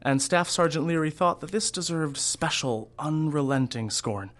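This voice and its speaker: An actor playing a candid, wry theatre costume designer and Vietnam veteran in an audio drama, telling things in a measured, reflective way. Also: male voice, fairly high pitch, fairly bright tone, close to an everyday voice. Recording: music behind the voice.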